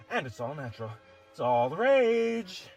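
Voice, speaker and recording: A woman playing a salesy, over-enthusiastic pitchwoman in a vintage radio commercial. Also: darkish tone, heavily acted. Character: peppy 1950s dish-soap pitchwoman and interviewer.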